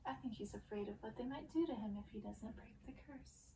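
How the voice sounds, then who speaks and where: A woman's voice: on the high side, a little fast, echoey room, noisy background, lightly acted. A woman voicing gloating, cruel witch sisters in a storybook, speaking in a formal, menacing, taunting way. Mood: gleeful, scornful. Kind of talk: storybook character reading.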